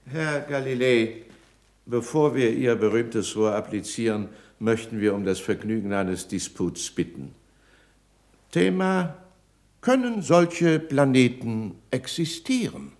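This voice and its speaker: An actor playing a smug, pompous court philosopher in a 1960s German radio play, with florid, ceremonious phrasing. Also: male voice, medium pitch, animated, slow pace, a little mellow.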